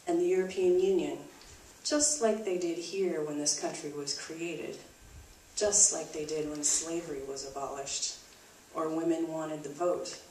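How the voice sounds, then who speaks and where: Roomy, distant low-voiced woman presenting. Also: darkish tone. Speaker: puppet show performer and presenter. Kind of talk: scripted live stage presentation. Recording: roomy, distant mic, faint noise.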